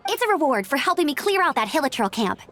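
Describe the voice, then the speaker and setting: A woman's voice: sits high, big pitch swings, fast, very noisy. A woman voicing an upbeat, dutiful young female knight in a video game, speaking in an earnest, eager and slightly formal way. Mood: eager, friendly. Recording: music behind the voice.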